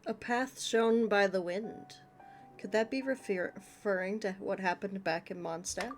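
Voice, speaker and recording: A woman's voice: medium pitch, fairly even intonation, unhurried. A woman streaming a game, reading dialogue aloud and commenting as she plays. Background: background music.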